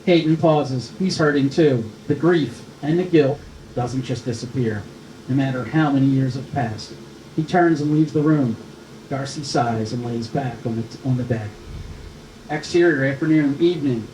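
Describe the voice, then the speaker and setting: A man's voice: medium pitch, normal speed, a little mellow. A man reading scripted stage directions as narrator at a screenplay table read. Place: live film festival table read. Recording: echoey room, faint noise.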